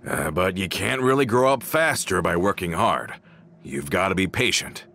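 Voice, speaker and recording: A sensible male game companion with a deep, gravelly voice and a sharp edge. He is dry and gently correcting, over faint background noise. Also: animated, normal speed, heavily acted. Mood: gently amused. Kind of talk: video game voice acting.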